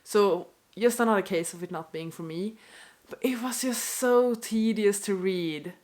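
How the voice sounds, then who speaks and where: A woman's voice: medium pitch, a little slow, a little mellow. A young woman with a stuffy nose from a cold, chatting casually to camera in an unscripted rant, apologetic and full of "like" fillers. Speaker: book vlogger.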